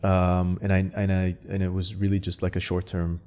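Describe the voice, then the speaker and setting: A man who grew up in Canada, a startup founder being interviewed, talking in a relaxed way full of fillers and restarts. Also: deep voice, normal speed. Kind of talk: casual interview talk.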